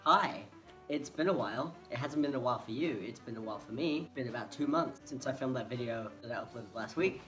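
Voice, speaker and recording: A man's voice: medium pitch, a bit bright, poor audio. Hesitant young man, rambling to camera. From Hong Kong. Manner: hesitant, rambling, restarts often. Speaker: vlogger. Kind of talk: casual to-camera vlog. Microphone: phone. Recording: phone recording with faint music behind.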